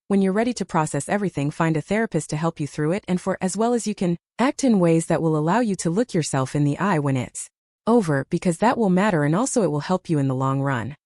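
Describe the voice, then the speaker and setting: A woman's voice: fairly low pitch, warm tone, no room sound, clean studio sound. A woman narrating a reddit story aloud, reading out a long numbered list and lifting her voice on each number.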